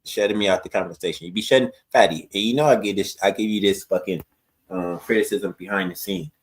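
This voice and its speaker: Dude with kinda squeaky voice talking real fast, cussing, defending himself on a podcast. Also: male voice. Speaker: podcast co-host. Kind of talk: heated argument among podcast hosts.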